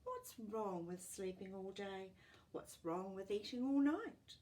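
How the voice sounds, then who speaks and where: A woman's voice: medium pitch, sing-song, slow, warm tone, far from the mic. A woman voicing a disapproving, worried snail parent in a children's storybook.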